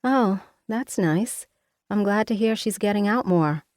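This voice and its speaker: A woman voicing a weary young woman visiting her mother, speaking gently. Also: medium pitch, measured pace, no echo, studio quality, voice pushed up, close to an everyday voice. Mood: gentle.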